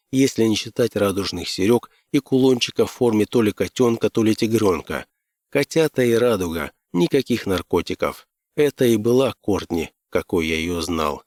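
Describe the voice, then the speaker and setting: A male audiobook narrator with a fairly low voice reads first-person fiction. The delivery is scripted storytelling, recorded dry with very little room sound. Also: a little mellow, dry recording.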